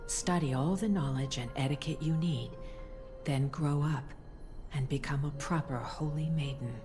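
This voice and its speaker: A tender mother and temple priestess played by an actress speaks low and softly with a breathy edge. Her delivery is soothing, measured and formal, as game dialogue over clear music. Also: lightly acted. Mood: reassuring. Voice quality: soft, breathy. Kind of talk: voiced game cutscene dialogue.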